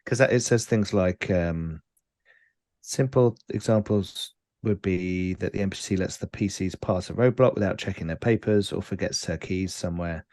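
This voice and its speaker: A man with a low voice plays in a tabletop roleplaying session. He speaks casually, and the sound is close and dry, with very little room echo. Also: moderate pace, darkish tone. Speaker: tabletop game player. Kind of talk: casual chat.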